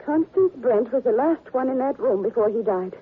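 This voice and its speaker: Husky, distraught widow, old radio. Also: female voice, a little high, animated, moderate pace. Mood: accusing, tense. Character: distraught, overwrought widow, insistent and accusing. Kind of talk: 1940s radio melodrama acting. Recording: muffled narrow old radio recording.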